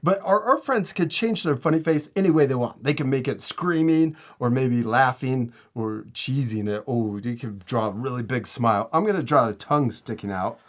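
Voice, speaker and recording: A man's voice: medium pitch, animated, normal speed, few pauses. A man hosting a casual step-by-step art tutorial, giving encouraging, simple instructions for children. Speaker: host teaching drawing to children.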